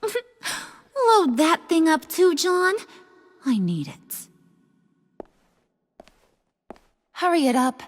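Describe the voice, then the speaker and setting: A woman's voice: very high voice, wildly swooping pitch, takes it slowly, exaggerated voice. An actress playing a taunting, sassy, dangerous madwoman villain in a video game, with a mocking, slangy sing-song delivery. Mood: bossy. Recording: reverberant echoing hall.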